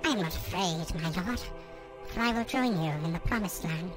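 A woman's voice: on the low side, moderate pace, faint music behind, close to an everyday voice. A woman voicing a devout, dying ant that speaks weakly and reverently to its lord in an audio drama. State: weak, dying.

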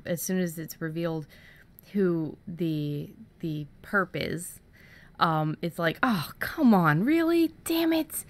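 A woman's voice: lowish voice, animated, slow pace. A woman co-hosting a podcast, talking in a casual, conversational style. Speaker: podcast co-host.

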